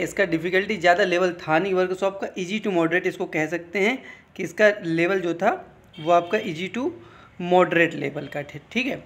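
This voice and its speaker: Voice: mid-range voice, fairly bright tone. Exam coach explaining informally. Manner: instructional, repeats points and often checks in with short tags.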